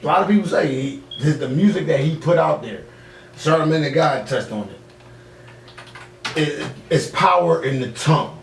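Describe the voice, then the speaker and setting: A man's voice: on the high side, unhurried. A man hosting a casual podcast chat, blunt and slangy, often repeating himself. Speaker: podcast host. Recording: echoey, distant mic, faint room noise.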